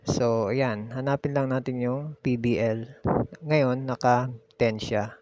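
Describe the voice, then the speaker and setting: A man's voice: mid-range voice, steady tone, takes it slowly, darkish tone. A Filipino man hosting a casual step-by-step demonstration, explaining in an instructional way and repeating key steps. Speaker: tutorial host. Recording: muffled lo-fi audio with background noise.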